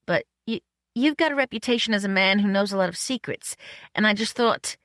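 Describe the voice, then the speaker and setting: An anxious, girlish movie actress from a storybook , voiced by a woman narrator. Her voice sits high, with hesitant, stammering phrases and old-fashioned slang, protective and a little naive. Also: brisk, a bit bright, dry recording, studio quality, natural voice.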